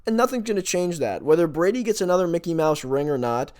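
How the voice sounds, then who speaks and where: A man's voice: fairly high pitch, sing-song, fast, darkish tone. A man co-hosting a sports podcast, giving opinions in an exasperated, emphatic and insistent manner.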